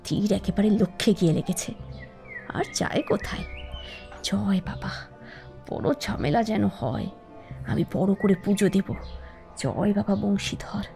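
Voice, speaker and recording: A woman playing a spiteful, scheming young village wife in a cartoon, shrill and dramatic as she nags and complains. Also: measured pace, creaky, pauses often, music in the background. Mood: scheming, gloating. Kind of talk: animated cartoon moral-story acting.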